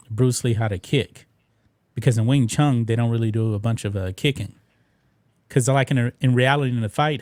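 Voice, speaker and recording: A man's voice: mid-range voice, darkish tone, dry recording. A man co-hosting a casual chat show, laid-back and full of fillers. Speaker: podcast co-host.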